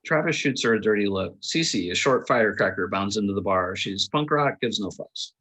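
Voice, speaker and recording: A man's voice: lowish voice, animated, normal speed, bright, forceful tone, slightly rough. A man narrating the stage directions of a screenplay at a table read, in a scripted style.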